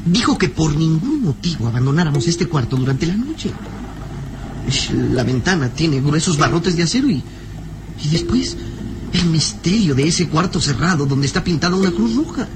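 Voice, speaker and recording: A man's voice: on the high side, sing-song, moderate pace, background noise. A man playing a small, nervous, curious boy sidekick, earnest and hesitant, in an old radio serial style. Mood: uneasy.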